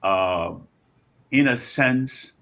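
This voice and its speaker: An older man in his seventies or beyond with a Liberian accent, speaking reflectively as an interview guest in measured, deliberate phrases with pauses and repetitions. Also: medium pitch, animated, a bit bright. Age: 70s or older. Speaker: guest author and scholar.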